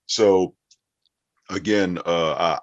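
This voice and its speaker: A man's voice: deep voice, steady tone, extremely slow. A man answering questions conversationally as an interview guest, a voiceover actor and coach. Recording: roomy echo, dull muffled sound.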